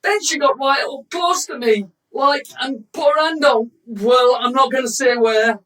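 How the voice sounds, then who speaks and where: A woman's voice: sits high, a little slow, fairly bright tone. A woman acting in a radio drama as a flustered, bossy football club owner, exasperated and emphatic, recorded at a distance from the mic. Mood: panicked, ranting.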